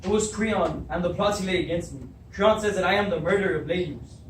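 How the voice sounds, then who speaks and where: Troubled, hot-tempered tragic king, played by a young man, higher male voice, stage readers theatre, distant mic with some noise. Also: fairly quick, close to an everyday voice. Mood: angry. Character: troubled, hot-tempered king of Thebes, fearful and guilt-ridden. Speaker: student actor. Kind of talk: readers theatre stage acting of Greek tragedy. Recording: distant echoey room recording with background noise.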